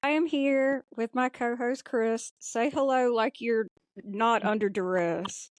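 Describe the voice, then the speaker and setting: A woman with a slightly high voice hosts a casual, bantering show. She is playful and teases her co-hosts. The recording is dry and close, with no room around her. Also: slow pace, no room sound. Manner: playful, teasing. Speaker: podcast host.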